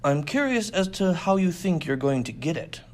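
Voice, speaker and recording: A man plays a very large and dangerous fighter in an adventure story. He speaks in cool, terse lines with quiet defiance. Nothing seems to make him flinch. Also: a little high, sing-song, normal speed. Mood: calm menace.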